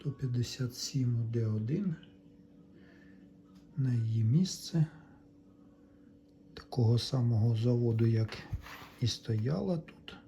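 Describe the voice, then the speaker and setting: A man giving a casual, step-by-step repair demonstration, thinking aloud in an unhurried, explanatory way. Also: mid-range voice, mellow, a little hiss or hum. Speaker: electronics repair hobbyist narrating.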